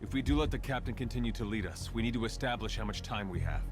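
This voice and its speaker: A man's voice: lowish voice, fast talker, music in the background, lightly acted. An actor playing a wary adult male survivor who speaks in a blunt, skeptical, challenging way. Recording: game cutscene voice under loud orchestral score.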